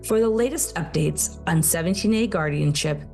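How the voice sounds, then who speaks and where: A woman narrates an advocacy video in a measured, formal voice. Her pitch sits on the low side, and faint music plays beneath her. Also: expressive, darkish tone. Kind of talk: scripted voice-over narration.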